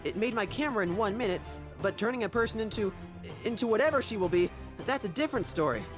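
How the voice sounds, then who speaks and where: A loyal young sidekick , as an actor performs it, sounds earnest and worried. The voice is highly animated in pitch, radio-serial style, with clear music behind it. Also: fast, close to an everyday voice. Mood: alarmed. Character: anxious, loyal young sidekick and childhood friend.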